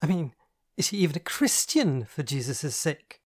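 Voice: mid-range voice, very animated, normal speed, husky, pitched above the performer's own voice. A reader voicing a flamboyant, camp young man who speaks grandly and teases his friend, in an audiobook. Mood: teasing. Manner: grand, theatrical, teasing. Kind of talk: audiobook dialogue.

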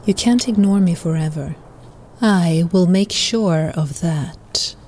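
A woman playing a smug, bossy disembodied divine voice in a comedic audio drama, speaking in a measured, commanding way. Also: on the low side, noisy background. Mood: insistent.

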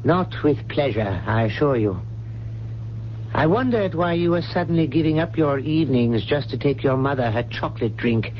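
An actor playing a stern, loyal old family butler in a 1970s radio drama, speaking in a grave, measured, formal way. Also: male voice, mid-range voice, expressive, lightly acted. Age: older. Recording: muffled, noisy old radio broadcast.

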